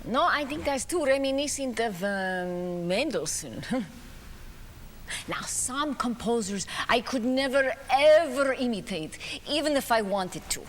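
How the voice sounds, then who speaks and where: A woman's voice: fairly high pitch, lively intonation, unhurried, slight background noise. A woman performing a one-woman stage monologue as a famous woman pianist, reminiscing in a chatty, self-mocking way between piano pieces, in a concert hall. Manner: reminiscing, chatty, light laughs. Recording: reverberant hall with faint ambience.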